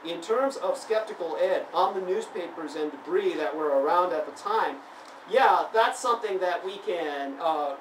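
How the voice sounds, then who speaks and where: A man born in Taiwan giving a lecturing monologue that turns heated and emphatic as he answers critics at a convention. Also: a little high. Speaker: interviewee. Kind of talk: monologue answering online comments. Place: convention venue. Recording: roomy, distant mic, faint background noise.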